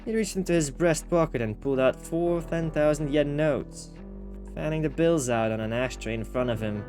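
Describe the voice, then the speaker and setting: A man's voice: on the high side, normal speed, a little mellow. Man reading a game story aloud over music. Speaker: gamer reading a visual novel aloud. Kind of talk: dramatic read-aloud with casual asides.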